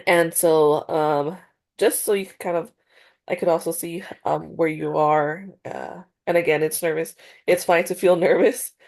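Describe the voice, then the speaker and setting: A woman's voice: sits low, steady tone, measured pace, creaky, darkish tone. A woman playing a counselor in a practice session over a video call, congested and a little nasal, hesitant with many fillers and restarts. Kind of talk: role-played therapy session.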